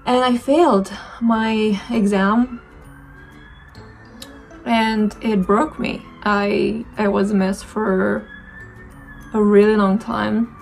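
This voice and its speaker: A young Romanian-accented woman talks to camera in a relaxed, meandering way as she does her makeup. Her voice has a noticeable gravelly fry. Faint music plays softly underneath. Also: medium pitch, slow, long pauses, low-quality recording. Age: 20s. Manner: reflective, rambling, many fillers and restarts. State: dry throat. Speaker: beauty vlogger. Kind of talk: casual chat while doing makeup.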